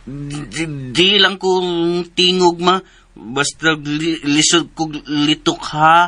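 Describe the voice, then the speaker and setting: An actor in a radio drama playing a slow-minded, childlike, eager young man who stammers and labors over his words. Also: a little high, steady tone, sharp tone. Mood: pleading, confused. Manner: halting, repeating syllables.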